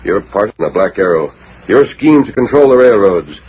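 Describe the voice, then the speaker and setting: Commanding deep-voiced western hero, muffled radio. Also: male voice, sing-song, normal speed. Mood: stern, resolute. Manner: authoritative, emphatic, declamatory. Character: commanding masked male western hero, stern and righteous. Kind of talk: 1940s radio western acting. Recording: muffled old radio recording, faint hiss.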